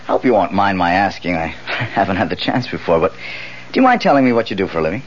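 Nosy eager crime reporter, low swooping voice, emphatic, chatty, radio play. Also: male voice, sing-song, quick pace. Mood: curious, affable. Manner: talkative, hesitant, emphatic. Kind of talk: 1970s radio drama acting. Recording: dull, noisy 1970s radio recording.

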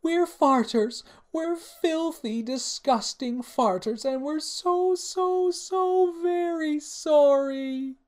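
A man voicing tearful, ashamed young princesses in a storybook, wailing and crying with drawn-out words. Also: very high-pitched, voice pushed up. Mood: tearful, remorseful.

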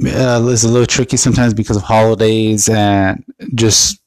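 A man hosting his own podcast, a bit raspy from being under the weather, talking in a loose, rambling way with many fillers and restarts. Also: lowish voice, little pitch movement, normal speed, no echo. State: slightly raspy from illness. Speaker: podcast host. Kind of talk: casual solo podcast update.

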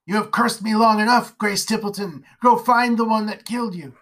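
A narrator voicing a haunted, drunken rural father who rants in a rough country dialect, raised and loud. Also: mid-range voice, measured pace. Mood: raving. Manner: rough rustic dialect, wild ranting. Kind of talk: storybook character voice.

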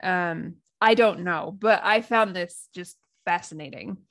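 A woman's voice: on the low side, a little slow, a bit bright. A woman with an American accent co-hosting a casual podcast, thinking aloud and laughing often. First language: English. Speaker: podcast co-host.

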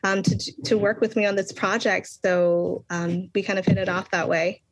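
A young adult woman from Boston, an author answering interview questions earnestly, with many pauses and restarts. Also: medium pitch, fairly even intonation, dry recording, poor audio. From Boston, Massachusetts. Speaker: children's book author, guest.

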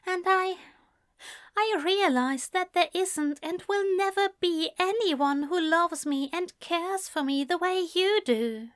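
A woman voicing a gentle, prim male angel in love, speaking softly and carefully with a plaintive, formal manner. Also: extremely high pitch, takes it slowly, no echo, clean studio sound, pitched above the performer's own voice. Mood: plaintive, loving.

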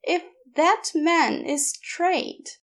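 A woman's voice: very high voice, very slow, voice pushed up, full character voice. A woman voicing a wry nonbinary shop neighbour who is dry, teasing and pointed, in a narrated story. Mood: dry, knowing.

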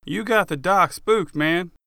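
A man's voice: high voice, unhurried, gravelly, pushed and bright, smooth, no echo, clean studio sound, voice pushed up, full character voice. A man voicing a furious, exasperated cop who confronts his friend in emphatic, demanding outbursts for an audiobook. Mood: agitated, insistent.

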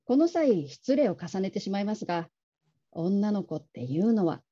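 A considerate female manager played by an actress with an extremely soft, dark tone. She speaks in a gentle, measured, courteous way, as in a radio drama. The sound is slightly muffled. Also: medium pitch, big pitch swings, husky. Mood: confiding, considerate. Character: polite, caring, discreet female call-centre manager.

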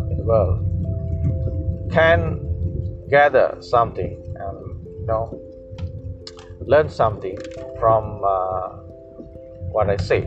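Sri guy talking podcast from his car, lots of uhs, muffled audio, music playing under. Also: mid-range voice. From Sri Lanka. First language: Sinhala. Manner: halting, many pauses and fillers. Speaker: podcast host. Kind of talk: casual solo podcast monologue. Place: in a moving car. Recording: dull lo-fi car recording with music.

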